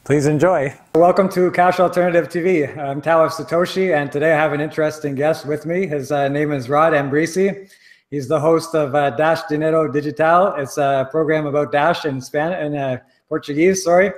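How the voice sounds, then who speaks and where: A man hosting an interview show, relaxed and pausing often with fillers. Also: a little high. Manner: relaxed, with frequent "uh" pauses. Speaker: host.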